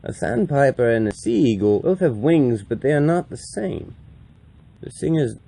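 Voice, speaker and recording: A man performing a grave, compassionate old wandering priest in a solemn, deliberate dramatic monologue with a gravelly voice. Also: mid-range voice, big pitch swings, mellow, voice pushed up, full character voice. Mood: grave, measured. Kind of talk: dramatic audiobook-style monologue reading.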